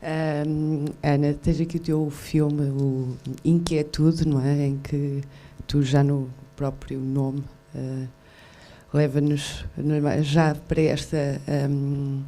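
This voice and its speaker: A woman moderating a festival talk with directors, asking questions in a halting way full of fillers and tag questions. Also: sits low, level tone, warm tone.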